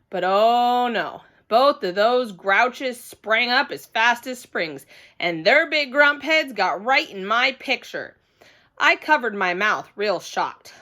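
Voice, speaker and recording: Lively, high-pitched woman reading a children's story. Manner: expressive, dramatic emphasis. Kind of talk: animated children's read-aloud.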